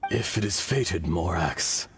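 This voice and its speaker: A man's voice: low voice, animated, slow, a bit bright, breathy edge, soft background music, full character voice. A man voicing an ancient, near-immortal being in a video game, solemn, grave and fatalistic. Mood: solemn, resigned. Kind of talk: video game character dialogue.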